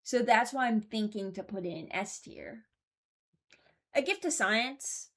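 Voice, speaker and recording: A woman's voice: on the high side, expressive, unhurried, a bit bright. A woman giving casual commentary, thinking aloud in a hesitant, deliberating way and often correcting herself. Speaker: host ranking game voice lines.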